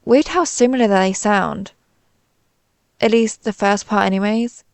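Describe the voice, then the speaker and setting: A woman's voice: medium pitch, unhurried, creaky, no echo. A woman playing a pompous, easily flustered female alien invader in a scripted audio roleplay, with haughty, theatrical, formal phrasing. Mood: puzzled.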